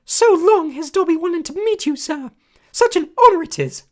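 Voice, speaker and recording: A woman voicing a tiny, servile male house elf with a high-pitched squeak, groveling and tearful in an audiobook reading. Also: expressive, normal speed, a little mellow. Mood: earnest pleading. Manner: groveling, wailing, overly formal.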